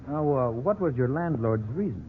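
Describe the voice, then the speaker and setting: A man's voice: medium pitch, animated, lightly acted. Man acting formal lawyer on old, tinny radio. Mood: patient. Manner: measured, formal, faintly condescending. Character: courteous, stern, formal male lawyer, patient but dry. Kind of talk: 1950s radio drama acting. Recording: old noisy 1950s radio, narrow band.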